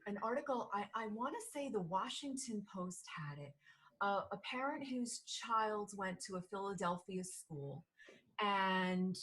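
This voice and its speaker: A woman's voice: medium pitch, fairly bright tone, low-quality recording. A woman with a New Jersey accent, hosting a podcast in a rambling casual chat full of restarts and fillers. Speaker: podcast host.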